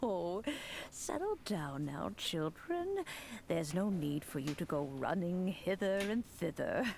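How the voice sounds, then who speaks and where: A woman playing a gentle, elderly immortal granny in a video game, speaking in a stately, old-fashioned way. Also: on the low side, big pitch swings, slow pace, creaky, slight background noise, heavily acted. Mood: calm, nostalgic. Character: gentle, elderly female immortal adept, fond and wistful granny.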